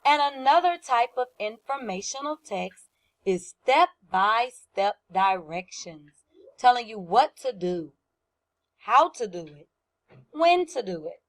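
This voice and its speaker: A woman with a fairly high voice speaks as a first-grade teacher. She goes very slowly through a lesson for young children, explaining in simple terms. She repeats key points and puts questions to her listeners. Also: big pitch swings.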